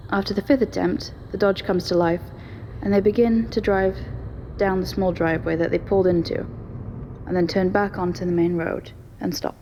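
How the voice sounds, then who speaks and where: A woman's voice: fairly low pitch, steady tone, moderate pace, warm tone, slight background noise. A woman narrating a scripted audio story.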